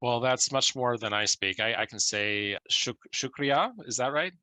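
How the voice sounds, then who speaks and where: Bright-voiced male podcast host, dry sound. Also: mid-range voice, unhurried, dry recording. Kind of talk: podcast interview.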